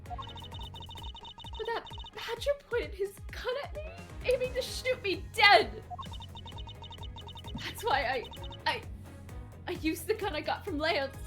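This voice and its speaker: High-pitched, distraught young woman. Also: sing-song, slow pace, long pauses, over music, full character voice. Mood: distraught, frantic. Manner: melodramatic, emotional delivery. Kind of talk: dramatic video game fan dub.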